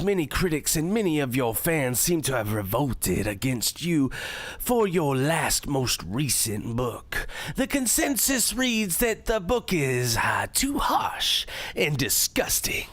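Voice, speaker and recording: A smug radio shock jock works an interview with unhurried, needling showmanship. His pitch swings widely and theatrically, and the sound is dry and close, as in a radio drama. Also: very animated, a bit bright, dry recording. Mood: smug, probing. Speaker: radio show host.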